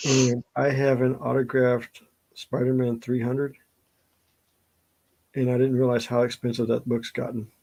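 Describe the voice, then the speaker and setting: A man's voice: mid-range voice, completely flat delivery, unhurried, long pauses, darkish tone, rough recording. A middle-aged man with a Southern US accent chatting casually and telling stories in a rambling way. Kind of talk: casual chat, storytelling.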